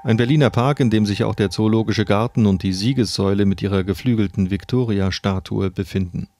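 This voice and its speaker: A man's voice: on the low side, fairly quick, warm tone, dry recording. A man reading scripted true-crime narration as the narrator. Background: faint music.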